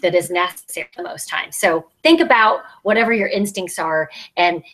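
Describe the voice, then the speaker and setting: A woman's voice: on the low side, normal speed, fairly bright tone. A woman in her thirties speaking as a podcast panelist in a casual discussion, on an earbud mic over a video call from a small room. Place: small plain room, indoors. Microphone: earbud headset on a video call.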